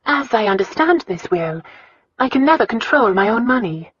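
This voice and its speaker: A woman's voice: a little high, sing-song, moderate pace, slightly rough, dry recording, lo-fi sound, voice pushed up. A woman voicing a poised, determined eighteen-year-old princess in an audiobook, speaking politely and firmly with control. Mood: cool, composed. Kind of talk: audiobook character acting.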